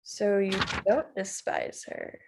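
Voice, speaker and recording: A woman's voice: medium pitch, wildly swooping pitch, slow, vocal fry, noisy background, exaggerated voice. A woman reading the part of a shocked, hesitant teen girl, in an amateur table read. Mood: questioning. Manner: hesitant, questioning.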